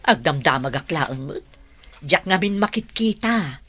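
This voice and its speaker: A woman's voice: deep voice, lively intonation, unhurried, fairly bright tone, slightly rough, heavily acted. A woman playing a meddling, suspicious sister-in-law in a radio drama, with a Filipino accent. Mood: suspicious, needling.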